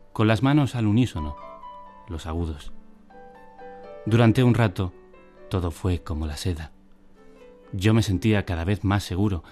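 A man's voice: sits low, darkish tone, close to an everyday voice. An actor playing a man who recounts his boyhood in wry, literary radio drama narration. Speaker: first-person narrator.

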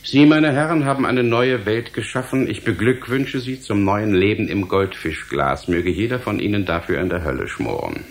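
An actor in a German radio drama playing a stern, bitter government official who speaks gravely, with deliberate rhetorical emphasis. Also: male voice, lowish voice, expressive. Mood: resigned, bitter.

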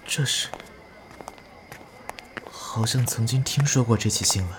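A man playing a guarded young survivor of ghost missions in an audio drama, speaking softly and hesitantly. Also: mid-range voice, measured pace, noisy background, natural voice. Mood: uneasy. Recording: voice over loud music.

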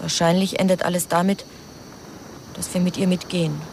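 A woman's voice: lowish voice, little pitch movement, brisk, gravelly, a little mellow, a little hiss or hum. An actress playing a sullen, frightened teenage girl in a radio drama, grumbling and evasive. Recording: faint outdoor ambience with insects.

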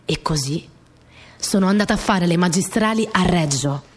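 A woman's voice: on the low side, moderate pace, close to an everyday voice. An actress playing a young peasant girl in a radio monologue, telling her story in a naive, colloquial way, repeating phrases for emphasis. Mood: earnest, recollecting. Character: young Italian peasant girl, earnest, plainspoken, stubborn storyteller. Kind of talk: dramatic monologue in a radio play. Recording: music behind the voice.

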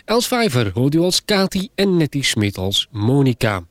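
A man's voice: mid-range voice, very animated, normal speed. A man reading out cast credits as an announcer, in a scripted presentation style.

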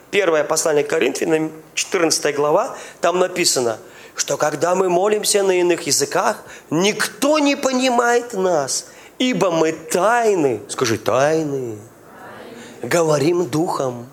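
Pastor, male, high voice, animated preaching, quizzes the congregation. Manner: lively preaching with questions to the congregation; speaks in tongues. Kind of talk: sermon.

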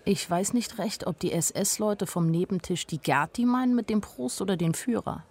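A woman's voice: mid-range voice, normal speed, darkish tone, lightly acted. An actress playing a candid, naive nineteen-year-old woman who tells her own story plainly in a radio drama. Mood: matter-of-fact. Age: young adult. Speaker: actress narrating as the main character. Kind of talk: radio drama first-person narration.

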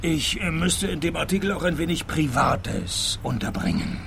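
A man playing a dry-witted, unflappable private detective in a radio play, deadpan and sardonic. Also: on the high side, normal speed, creaky, slight background noise, full character voice. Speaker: narrator and lead character. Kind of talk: radio play acting with first-person narration.

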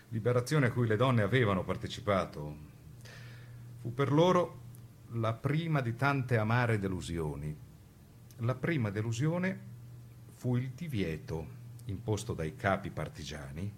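A man giving an emphatic, rhetorical scripted narration for a radio documentary play, with weighted pauses. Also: on the low side, a little hiss or hum.

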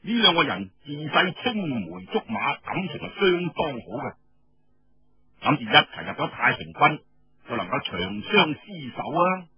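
A man with a Cantonese accent telling a story in an expressive, colloquial oral storytelling style, with rhetorical asides. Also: fairly high pitch, normal speed, breathy edge, no echo, highs cut off, soft background music. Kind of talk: dramatic traditional oral storytelling narration.

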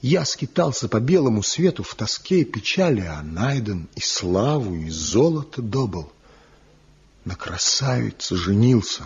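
Bitter proud craftsman in an old radio play, slow and husky, swings up and down, muffled tape. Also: lowish voice, sing-song, a little mellow, full character voice. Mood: tormented confession. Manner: declamatory, impassioned, with weighty pauses. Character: bitter envious wandering master craftsman, proud and tormented. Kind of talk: theatrical Soviet radio play acting. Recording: muffled 1970 radio drama recording.

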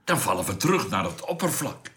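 Low-voiced, questioning spaceship crewman. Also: big pitch swings, brisk, a bit bright. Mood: uneasy. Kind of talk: science fiction radio drama acting.

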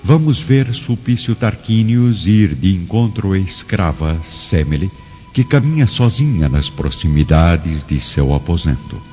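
A man's voice: sits low, very animated, a little slow, warm tone. A man delivering scripted narration for a radio drama. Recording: muffled lo-fi radio drama, music underneath.

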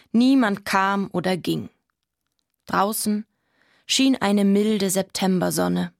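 An actress playing a measured, wistful narrator of a quiet literary story in a radio play. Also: female voice, mid-range voice, creaky, no room sound, clean studio sound.

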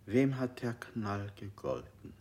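Low-voiced interrogator, cool and probing, vintage radio drama. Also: male voice, slow pace. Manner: calm, probing questions. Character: cold male interrogator, middle-aged. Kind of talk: 1960s radio play acting.

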